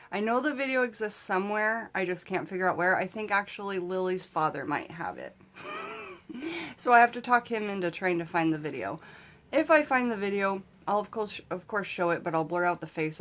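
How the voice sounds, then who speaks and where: A middle-aged woman telling a casual comedic story to camera in an animated, candid, conversational way. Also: medium pitch. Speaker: vlog host and storyteller. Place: small indoor space in front of a curtain.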